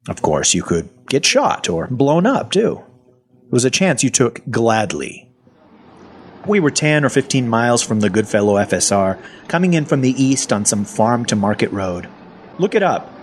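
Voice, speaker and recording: An actor as a husky, sardonic zombie-story survivor. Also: male voice, mid-range voice. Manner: sardonic, conversational, expressive. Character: sarcastic, foul-mouthed man, part zombie, captive survivor. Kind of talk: first-person audio fiction narration.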